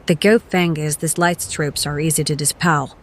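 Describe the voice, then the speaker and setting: Actress as watchful female survivor, audio drama. Serious, level-headed, somewhat low voice. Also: steady tone, normal speed, noisy background, close to an everyday voice. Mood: urgent caution.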